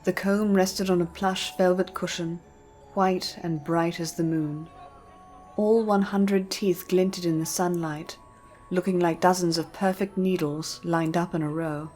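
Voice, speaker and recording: A woman's voice: lowish voice, fairly even intonation, unhurried, dark tone. A woman reading scripted storybook narration as the narrator. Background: music.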